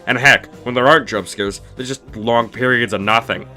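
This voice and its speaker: A man's voice: mid-range voice, normal speed, sharp tone, soft background music. A man narrating a scripted comedic review in a snarky, dismissive, mock-exasperated way. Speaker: video reviewer, host of a review series.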